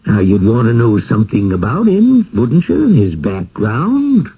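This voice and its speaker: An actor playing a genial, insistent small-town village elder in a radio drama, with a folksy, courtly, speechifying manner. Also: male voice, mid-range voice, wildly swooping pitch, measured pace, breathy edge, full character voice. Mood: patient, persuasive. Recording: dull lo-fi old radio broadcast.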